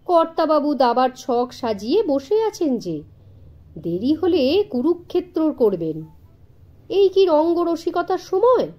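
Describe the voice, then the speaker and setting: A woman's voice: high voice, very animated, takes it slowly, mellow, dull audio, voice pushed up, heavily acted. A woman voicing an old male servant in a storybook, jovial, insistent and easily exasperated. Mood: exasperated insistence. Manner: insistent, familiar, scolding.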